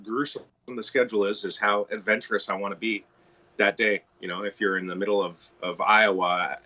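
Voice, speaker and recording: Bright-voiced Canadian man, rambling. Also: on the low side, flat delivery, brisk. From Ontario, Canada. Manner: rambling, many restarts and "you know". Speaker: guitar tech and stage manager, guest. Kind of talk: casual podcast interview.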